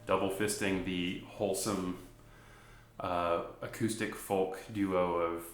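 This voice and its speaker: Stuffy-nosed male musician, quiet rambling chat between songs, echoey home room. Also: sits low, steady tone, slow pace, a little hiss or hum. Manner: rambling, self-deprecating, soft-spoken. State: stuffy nose. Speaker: singer-songwriter performing live. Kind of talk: casual between-song chat. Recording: roomy echo.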